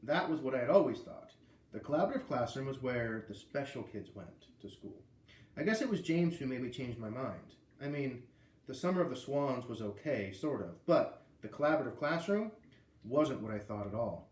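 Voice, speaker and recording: A man's voice: medium pitch, lively intonation, moderate pace, darkish tone, reverberant. A man with an American accent reading a children's book aloud, with casual asides. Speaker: read-aloud reader.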